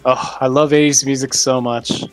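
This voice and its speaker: A man's voice: medium pitch, level tone, moderate pace, soft background music. A man hosting a live stream, upbeat and casual, greeting listeners while posting links.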